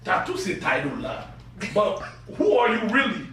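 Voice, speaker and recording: A man co-hosting a podcast, joking loudly and mixing in English slang, often laughing. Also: high voice, huge pitch swings, a little slow. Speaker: podcast co-host. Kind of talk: loud joking banter. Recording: echoey room, distant mic, noisy.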